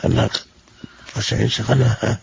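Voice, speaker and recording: An actor playing an ailing, apologetic old Filipino father in a radio drama, speaking weakly and haltingly. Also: mid-range voice, little pitch movement, vocal fry, a little hiss or hum, low-quality recording. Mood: weary, sorry. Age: older.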